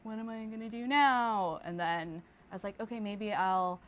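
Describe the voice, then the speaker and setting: A woman's voice: medium pitch, moderate pace, lo-fi sound. A young woman artist from the Philippines and Toronto, chatting casually as an interview guest with plenty of "um" and "like". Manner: relaxed, lots of fillers and likes.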